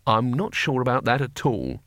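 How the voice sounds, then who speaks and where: A man's voice: mid-range voice, very animated, moderate pace, fairly bright tone, dry recording, clean studio sound. A man voicing a nervous, doubtful wizard in an audiobook story, hesitant and wryly sarcastic as he questions things. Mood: uneasy, sarcastic.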